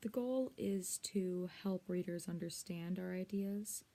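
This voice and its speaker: A woman presenting a scripted lesson in a measured, explanatory way, speaking directly to the viewer. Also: on the low side, dark tone. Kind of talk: scripted instructional narration.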